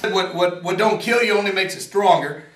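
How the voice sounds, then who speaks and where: A man in his forties with a raspy voice, an inventor talking in a casual, rambling and earnest way, sometimes joking about himself. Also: high-pitched, normal speed. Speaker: inventor and veteran interviewee. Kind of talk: casual interview talk. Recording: roomy echo, from a distance.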